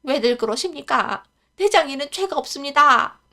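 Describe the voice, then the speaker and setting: A woman's voice: high-pitched, animated, moderate pace, pushed and bright, pitched above the performer's own voice, full character voice. Woman doing a scolding old stable groom. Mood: panicked. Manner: scolding, anxious. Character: old male stable groom, grumbling and fearful.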